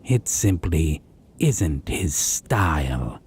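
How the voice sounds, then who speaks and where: A man playing a possessive, wounded vampire in a roleplay audio drama, speaking with mocking refinement, theatrical phrasing and a breathy, husky voice. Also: rumbling low, sing-song, very slow, darkish tone, slight background noise, full character voice. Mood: menacing, knowing. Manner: pedantic, sardonic, theatrical, lingering phrasing.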